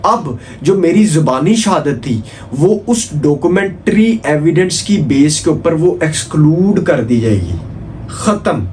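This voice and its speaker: Higher-pitched Pakistani man lecturing over noise. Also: sing-song, unhurried, darkish tone. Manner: didactic, repeats key points with frequent check phrases. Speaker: law lecturer. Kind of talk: informal explanatory exam lecture mixing Urdu with English legal terms.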